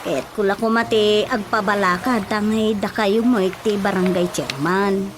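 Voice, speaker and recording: A woman's voice: medium pitch, normal speed, background noise, close to an everyday voice. A woman playing a polite, troubled villager who defers to her elders in a radio drama, with an Ilocano accent. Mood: worried. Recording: radio drama with loud music bed.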